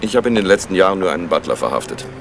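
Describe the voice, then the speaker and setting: Wry male police officer: gravelly, animated inflection, casually probing, old radio crime play. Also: sits low, racing, slight background noise, full character voice. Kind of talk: German crime radio play acting, circa 1970.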